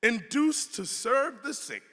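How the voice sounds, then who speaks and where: A man's voice: very high-pitched, very animated, slow, a bit bright. A man giving a dramatic reading of a formal historical text in an emphatic, oratorical way. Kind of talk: dramatic reading of an 18th-century pamphlet. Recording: long hall reverb.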